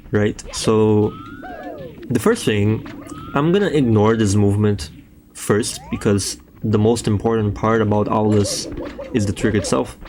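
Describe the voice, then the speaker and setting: A man's voice: on the low side, slight background noise. A young Brazilian man with a Brazilian Portuguese accent, a native Portuguese speaker, casually talking through a game speedrun tutorial and swearing now and then. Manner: relaxed, loose, swears casually, frequent fillers. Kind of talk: casual gameplay tutorial voice-over.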